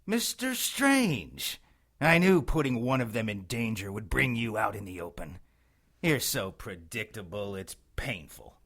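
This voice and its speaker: Theatrical, sharp-voiced witch villain, wildly swooping. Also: fairly low pitch, sing-song, full character voice. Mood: menacing, gloating. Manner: theatrical, sinister, drawn-out taunting. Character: vengeful, arrogant male witch villain, menacing and taunting.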